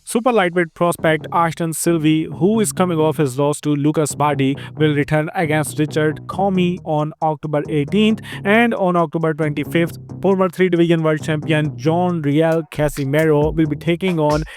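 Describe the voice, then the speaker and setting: A man's voice: on the high side, soft background music. Upbeat Indian-accented man reading fight news. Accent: Indian English. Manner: brisk, upbeat listing of fight announcements. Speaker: host of a fight news channel. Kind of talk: scripted news rundown.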